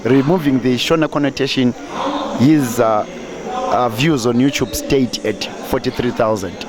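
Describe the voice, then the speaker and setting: A man interviewing a guest for the news, with many pauses and fillers. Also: mid-range voice. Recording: crowd noise behind.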